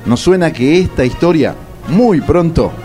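A man's voice: fairly high pitch, expressive, unhurried, music in the background. A man, giving comic scripted narration for a radio play.

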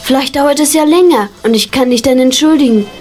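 A woman's voice: high-pitched, fairly quick, over loud music, lightly acted. An actress playing a concerned, loyal schoolgirl friend in a radio play. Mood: curious, concerned. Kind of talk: German radio play acting.

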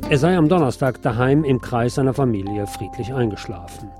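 A man narrating a scripted obituary tribute as a radio host, in a measured, formal reading manner. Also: mid-range voice, animated, music in the background.

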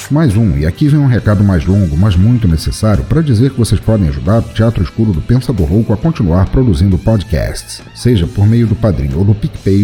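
A man with a Brazilian Portuguese accent hosting an audio drama podcast, reading out notices and thanks in a theatrical, playfully dark tone. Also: sits low. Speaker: podcast host.

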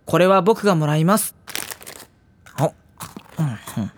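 An actor playing a gentle, anxious teenage schoolboy who narrates an audio drama with wry worry. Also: male voice, a little high, expressive, unhurried, a little hiss or hum. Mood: worried. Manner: wry, worried inner monologue. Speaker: narrator and lead character.

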